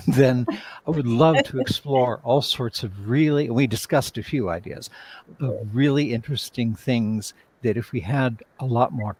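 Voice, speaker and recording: A man chatting as an interview guest, speaking effusively in a halting, reflective way. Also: medium pitch, darkish tone, husky. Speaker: writer and director, interview guest. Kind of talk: casual interview chat.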